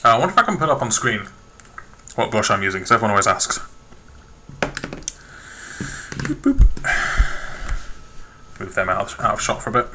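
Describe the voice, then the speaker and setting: A man chats casually to a live audience while he paints miniatures, often trailing off into quiet mutters to himself. His voice sits a little low but comes across very bright and crisp. A faint hiss of noise runs under a cheap webcam setup at his hobby desk. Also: big pitch swings, normal speed, pauses often. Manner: muttering to himself, chatty asides to viewers. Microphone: webcam perched on a lamp.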